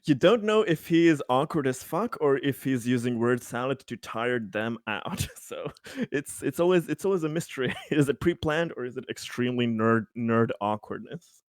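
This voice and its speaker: A man improvising casually at a tabletop roleplaying game, halting and stammering, with wordy phrasing. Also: medium pitch, expressive, no room sound, clean studio sound.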